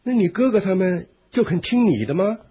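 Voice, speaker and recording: Timid royal uncle, fretful, whining, rough husky voice, jumpy intonation, radio drama, phone-like recording. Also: male voice, high-pitched, animated, brisk, heavily acted. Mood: doubtful. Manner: fretful, whining, easily alarmed. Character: timid, lecherous royal uncle drawn into a plot.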